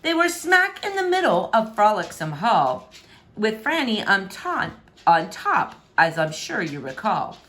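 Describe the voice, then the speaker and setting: A woman reading rhyming children's fiction aloud in an expressive, theatrical, emphatic way. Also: mid-range voice, a little slow. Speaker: storybook reader. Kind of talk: animated, rhyming children's story narration.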